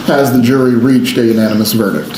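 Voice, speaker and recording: A man with an American accent speaking as a judge in formal court proceedings, in a measured, deliberate way. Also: medium pitch. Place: indoor courtroom. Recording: roomy courtroom sound with faint noise.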